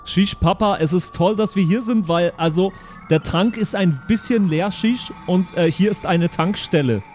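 A man's voice: sits high, normal speed, darkish tone, faint music behind, natural voice. A man playing a cocky young spaceship crewman in an improvised audio play, slangy, with a verbal tic tagged onto sentences. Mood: cheeky, slangy.

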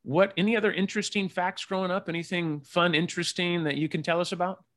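A man's voice: sits high, fairly even intonation, fast talker, fairly bright tone. A man hosting a podcast, chatting casually and upbeat with his guest, full of asides. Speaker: podcast host. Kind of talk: casual interview chat.

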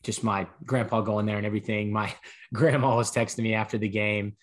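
A young man co-hosting a sports podcast, relaxed, breaking down plays with numbers. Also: on the low side, a little fast. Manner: relaxed, stat-heavy breakdown. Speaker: podcast co-host. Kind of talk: casual sports podcast analysis.

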